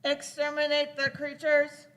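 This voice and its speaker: A woman voicing a merciless alien Dalek commander in a radio play, giving staccato monotone commands. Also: high voice, a little slow.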